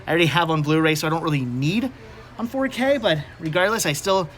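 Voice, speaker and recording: High, bright-voiced American man vlogging. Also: fast talker. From United States. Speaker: host of a movie-collecting video channel. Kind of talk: casual shopping vlog narration. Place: on location at a flea market and store.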